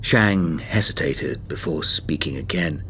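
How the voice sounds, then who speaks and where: A man narrating an audiobook in a grave, measured, dramatic scripted style. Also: very deep voice, fairly bright tone, slight background noise.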